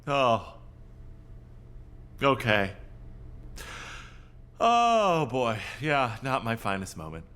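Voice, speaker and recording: An actor playing a neurotic, self-dramatising middle-aged boss in a comedic audio drama, rambling and theatrical. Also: male voice, mid-range voice, huge pitch swings, drawn-out words, long pauses, a bit bright, clear, resonant tone, full character voice. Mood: sheepish, rambling.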